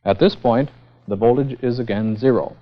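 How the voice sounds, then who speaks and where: A man's voice: medium pitch, normal speed. A man giving a formal, methodical scripted technical lecture as the instructor in a vintage educational film. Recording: dull, band-limited vintage 16mm film sound.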